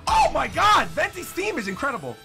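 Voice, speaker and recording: Animated young man reacting, music behind. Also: very high voice, fairly quick, gravelly. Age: 20s. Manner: excitable, exclaiming. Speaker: streamer reacting to game trailers. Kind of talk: casual live reaction commentary. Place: small furnished room indoors. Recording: game trailer music playing behind.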